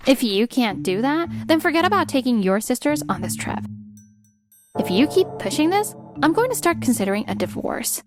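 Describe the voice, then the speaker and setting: An actress playing a fed-up, sharp-tongued wife in a dramatized manga dub, sarcastic and pointed. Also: female voice, high-pitched, very animated, moderate pace. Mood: cold, fed up. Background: music.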